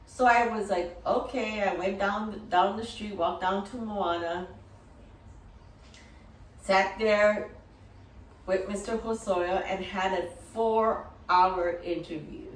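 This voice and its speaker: A middle-aged woman telling personal stories casually, rambling in an animated way and acting out remembered dialogue. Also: mid-range voice, slow pace. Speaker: dog-business entrepreneur and course creator. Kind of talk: casual personal storytelling to camera. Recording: roomy echo, distant from mic.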